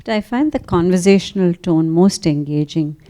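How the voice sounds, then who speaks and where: A woman interviewing an author, asking long questions with many pauses and fillers. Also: fairly low pitch, expressive, mellow. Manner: hesitant, searching questions with fillers. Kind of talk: conversational interview.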